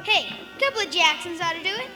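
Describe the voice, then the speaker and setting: Very high-pitched brash street urchin. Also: female voice, lively intonation, fast, music in the background. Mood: streetwise, brisk. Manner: slangy, cocky sales patter. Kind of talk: live audio comedy acting.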